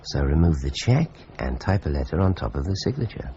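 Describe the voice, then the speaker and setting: An actor playing a police inspector who reasons through a case aloud in a measured, analytical way, in a radio drama. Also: male voice, a bass voice, big pitch swings, lo-fi sound, background noise, exaggerated voice. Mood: thoughtful.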